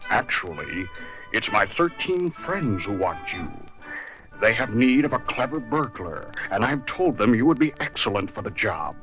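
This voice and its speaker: A wise old wandering wizard for a children's storybook record. His low voice rises and falls expressively, kindly and reassuring, with faint music behind. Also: sing-song, measured pace, a bit bright, poor audio. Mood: calm, reassuring.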